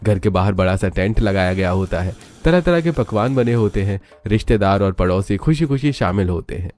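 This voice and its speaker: A man's voice: on the low side, animated, fairly quick, darkish tone, faint music behind. A man narrating a scripted family drama story in a dramatic storytelling style.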